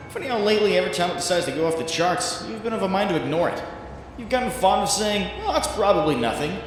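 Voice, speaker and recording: A man playing an anxious, wry young superhero in a comic dub, brooding and self-doubting. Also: high-pitched. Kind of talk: dramatic second-person narration and dialogue, comic dub. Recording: echoey room with faint background noise.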